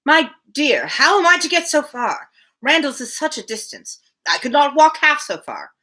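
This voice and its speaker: A woman's voice: high-pitched, sing-song, moderate pace, pushed and bright, pitched above the performer's own voice, exaggerated voice. A woman voicing a nervous, fretful old father in a storybook reading, plaintive and fussy. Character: nervous, fretful, gently selfish old widowed English father.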